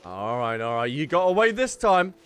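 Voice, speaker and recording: Higher-voiced playful male gamer. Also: big pitch swings, moderate pace, a bit bright, faint music behind. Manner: jokey, repeats phrases, banters with game characters. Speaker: gaming content creator, host. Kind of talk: casual, playful gameplay commentary.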